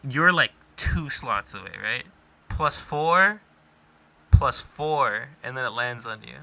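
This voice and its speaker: A man's voice: mid-range voice, takes it slowly, gravelly, pushed and bright, rough recording. A young adult man co-hosting a podcast in casual, argumentative banter, swearing freely as he talks. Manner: profane, argumentative. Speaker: podcast co-host.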